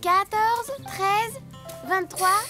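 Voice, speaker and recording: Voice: extremely high pitch, steady tone, drawn-out words, heavily acted. A performer voicing a bubbly, busy little child donkey in a children's cartoon, childlike and emphatic. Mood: busy, concentrating. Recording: music clearly behind the voice.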